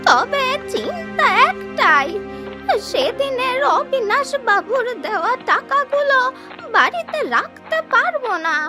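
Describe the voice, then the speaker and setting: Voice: extremely high pitch, big pitch swings, slow, music in the background, full character voice. An actor playing a humble, anxious old village woman in a cartoon, plaintive and protesting. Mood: anxious, musing. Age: older. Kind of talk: cartoon voice acting.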